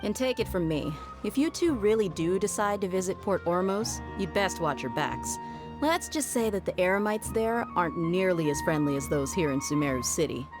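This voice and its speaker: A woman's voice: on the low side, lively intonation, normal speed, music in the background, close to an everyday voice. A woman playing a tough, pragmatic young bodyguard in a video game, blunt and casual in a streetwise way. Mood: matter-of-fact, wary.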